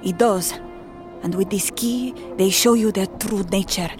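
An actress playing a frightened, half-mad woman with a Spanish accent, halting and haunted, slipping Spanish words into her English. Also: mid-range voice, a little mellow, soft background music, natural voice. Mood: fearful warning. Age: middle-aged. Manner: halting, haunted, mixing Spanish into English, often dropping to a whisper. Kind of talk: audio drama acting.

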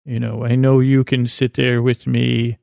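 Rambling man with a creaky voice. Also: mid-range voice, flat delivery, mellow, no room sound, clean studio sound. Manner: halting, meandering, trailing off mid-thought. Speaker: podcast host. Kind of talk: rambling comedic bedtime monologue.

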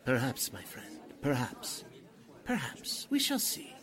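An actor playing a pompous, oily cult leader who poses as a spirit medium, speaking in grand theatrical incantations in a radio drama style. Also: male voice, medium pitch, huge pitch swings, slow pace, a bit bright, full character voice. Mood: solemn, mysterious. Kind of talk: 1940s radio drama acting.

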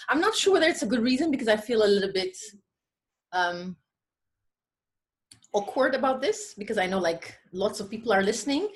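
A woman's voice: medium pitch, fairly bright tone. A woman from Ethiopia speaks as a podcast guest in a mid-range voice. Her talk is casual and self-deprecating, with hesitant phrasing that often starts over. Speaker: podcast guest, literary editor and prize judge. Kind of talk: casual interview chat.

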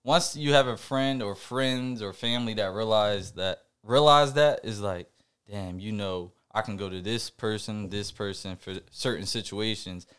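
A young man co-hosting a podcast, talking in a reflective, halting way with many fillers and restarts. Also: lowish voice, a bit bright, clean tone. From Puerto Rican family background. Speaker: podcast co-host. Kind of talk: casual podcast chat.

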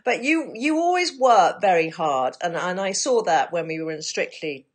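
A woman hosting an interview, asking questions in a playful, enthusiastic way. Her voice swings widely in pitch as she talks. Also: animated, normal speed. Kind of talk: casual interview chat.

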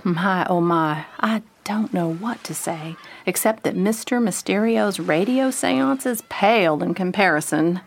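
A woman's voice: mid-range voice, unhurried, few pauses, slight background noise, close to an everyday voice. A woman playing a glamorous, breezy 1930s socialite in an old-time radio drama.

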